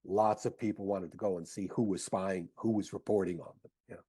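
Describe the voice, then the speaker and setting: A man answering as an interview guest, halting and searching for his words in conversation. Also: sits low, fairly even intonation, dark tone, slightly rough.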